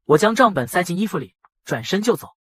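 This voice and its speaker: Husky male storyteller, very dry sound. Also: sits high, sing-song, quick pace, fairly bright tone, no room sound. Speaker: narrator and voice of the male lead. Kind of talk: dramatized first-person audio drama narration.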